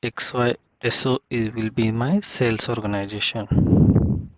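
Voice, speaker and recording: A man giving a casual step-by-step software tutorial, speaking in short, halting phrases as he works through the screen. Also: medium pitch, fairly even intonation, noisy background.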